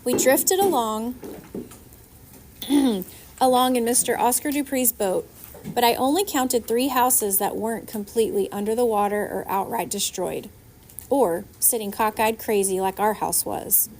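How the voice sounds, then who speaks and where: A woman narrating a children's novel aloud in an expressive storytelling style. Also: fairly high pitch, unhurried. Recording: faint background noise.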